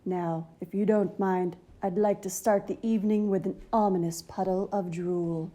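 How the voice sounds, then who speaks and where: A woman's voice: mid-range voice, steady tone, moderate pace, dark tone, natural voice. Woman as a growly, courteous bedtime monster. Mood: politely hungry. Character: male bedside monster, fond, growly, toe-hungry old companion.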